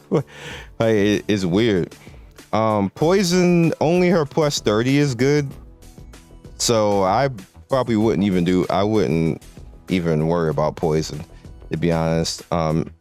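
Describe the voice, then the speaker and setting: A man's voice: fairly low pitch, expressive, takes it slowly, darkish tone, clear, resonant tone, faint music behind. A man losing his voice, giving laid-back, slangy game commentary with lots of restarts. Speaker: mobile game content creator.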